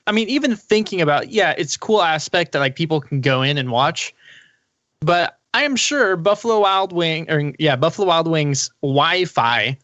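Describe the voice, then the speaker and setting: A man's voice: on the high side, animated, moderate pace, fairly bright tone, dry recording, muffled recording. A man on a podcast panel, talking casually about gaming in a rambling, explanatory way.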